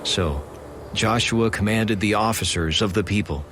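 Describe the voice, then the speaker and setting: Low-voiced male scripture narrator. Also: measured pace, fairly bright tone, slight background noise. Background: music.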